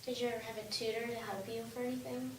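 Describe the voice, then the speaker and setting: A woman with a somewhat high voice asks the interview questions and keeps her acknowledgements short. She sounds some way from the mic in an echoey room. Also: little pitch movement, fast talker, a little hiss or hum. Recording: echoey room, distant mic, faint ambience.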